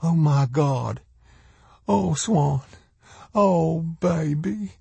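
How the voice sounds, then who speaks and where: A man plays a desperate young mother in an audiobook, his voice lifted high. She pleads in folksy, homespun phrases and clings to hope. The sound is close and dry. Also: lively intonation, moderate pace, warm tone, slightly rough, dry recording. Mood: horrified.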